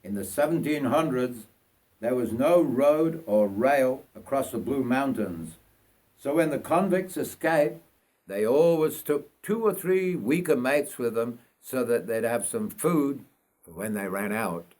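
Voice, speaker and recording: An older man from North Yorkshire reads his own memoir aloud in a measured, reflective storytelling manner. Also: medium pitch, lively intonation, darkish tone. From North Yorkshire, England. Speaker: writer reading own memoir. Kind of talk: scripted autobiographical storytelling.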